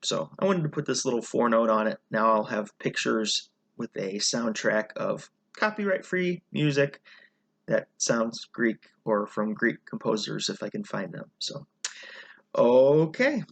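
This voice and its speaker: A man from the United States talking casually about a trip, relaxed and rambling with fillers and self-corrections. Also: mid-range voice, measured pace, gravelly. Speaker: channel host. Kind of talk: casual travel vlog chat.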